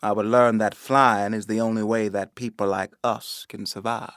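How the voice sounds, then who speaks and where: An actor playing a wistful young man, telling memories to the audience in a reflective theatrical monologue with dramatic pauses. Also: lowish voice, little pitch movement, fairly bright tone, dry recording, studio quality. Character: wistful young man remembering his flying family.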